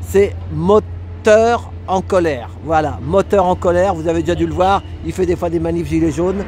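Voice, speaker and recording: A man's voice: on the high side, normal speed, darkish tone. A chatty man hosting, chatting casually while riding and filming outdoors in city traffic. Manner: chatty, repeats "voilà" a lot. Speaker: host. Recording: street traffic noise.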